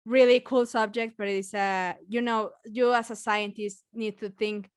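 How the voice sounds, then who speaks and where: Mexican-accented woman with a smooth voice. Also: medium pitch, steady tone, no room sound, studio quality. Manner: halting, many restarts and fillers, laughs often. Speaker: biologist guest and science communicator. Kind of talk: casual podcast conversation.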